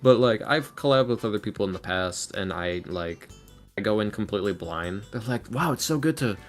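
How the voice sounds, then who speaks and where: Chatty low-voiced man, podcast guest. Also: animated, brisk, soft background music. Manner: chatty, informal, acts out quotes. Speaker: podcast guest, voice actor and ASMR creator. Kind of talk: casual chat, storytelling.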